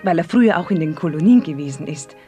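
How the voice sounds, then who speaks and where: A woman's voice: low voice, expressive, fast talker, mellow, soft background music. An actress playing a plain-spoken, wary young woman in a radio play. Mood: chatty, candid. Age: young adult. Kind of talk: natural radio play dialogue.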